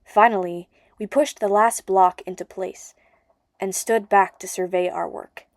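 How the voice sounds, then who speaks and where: A woman's voice: mid-range voice, fairly even intonation, unhurried, no room sound. A woman narrating a first-person story as an amateur audiobook reader.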